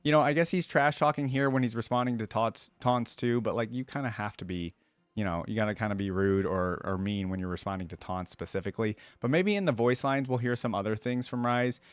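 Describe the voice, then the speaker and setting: A man's voice: mid-range voice, fairly quick, clear, resonant tone, no echo. A man hosting a reaction video, talking casually and rambling informally as he muses, close to the microphone.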